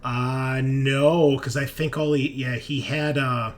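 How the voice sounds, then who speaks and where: A man narrating a tabletop horror game as game master, improvising in halting, suspenseful phrases. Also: medium pitch, fairly even intonation.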